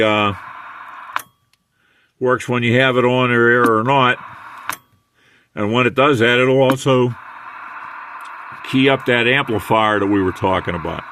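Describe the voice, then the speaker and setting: An older American man giving a casual hands-on equipment demonstration in a halting, unhurried way. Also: mid-range voice, fairly bright tone, slight background noise. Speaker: radio hobbyist demonstrating equipment.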